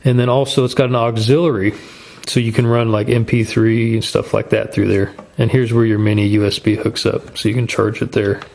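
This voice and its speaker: A man's voice: fairly low pitch, level tone, fairly quick, creaky, darkish tone. Casual man reviewing gear, folksy and plain-spoken. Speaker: gear review channel host.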